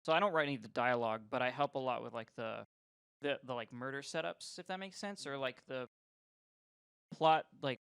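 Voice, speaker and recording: A man's voice: medium pitch, a little fast, gravelly, fairly bright tone, clear, resonant tone, no echo, clean studio sound. A young man on a game dev team, talking casually on a panel and searching for words with lots of fillers. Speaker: game dev team member helping the writers. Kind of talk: casual convention panel chat.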